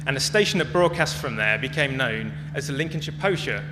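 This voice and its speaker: A man's voice: mid-range voice, expressive, a little fast. A man speaking as a conference presenter, explaining things in a prepared public talk. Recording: echoey hall with clear hum and noise.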